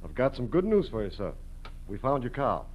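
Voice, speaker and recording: Lively American policeman, lo-fi radio. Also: medium pitch, normal speed. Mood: businesslike. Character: brisk, businesslike male local policeman. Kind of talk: 1940s American radio drama acting. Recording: dull lo-fi vintage radio.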